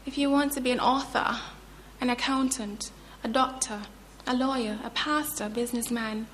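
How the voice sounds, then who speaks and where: A woman's voice: sits high, fairly bright tone, slight background noise. A woman with a Jamaican accent giving an emphatic motivational speech at a book launch, recorded from a distance. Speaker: author speaking at a book launch.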